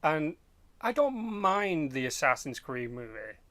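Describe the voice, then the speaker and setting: A man chatting casually as a podcast host, rambling and halting, with loose swearing. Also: fairly high pitch, very animated, bright, forceful tone, no echo. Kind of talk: casual chat about game movies.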